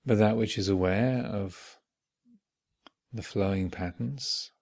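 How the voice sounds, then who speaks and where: A man with a low, gravelly voice guides a meditation at a very slow pace. He speaks in short, measured phrases and leaves long pauses between them. The delivery is contemplative and reflective throughout. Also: a little mellow. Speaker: meditation teacher leading a guided session. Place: meditation retreat hall.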